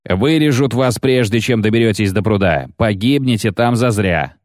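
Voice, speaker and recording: A gruff dwarf battle commander, acted by a male reader, coarse and defiant. The voice is a hoarse growl that barks out its words, recorded dry in a fantasy audiobook. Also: fairly low pitch, animated, normal speed, no echo, close to an everyday voice. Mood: scornful. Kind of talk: audiobook character acting.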